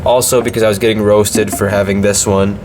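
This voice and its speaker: A man's voice: lowish voice, little pitch movement, a little fast, noisy background. A man with a slight cold reviewing a model airplane in a casual, hesitant way, with many ums and restarts.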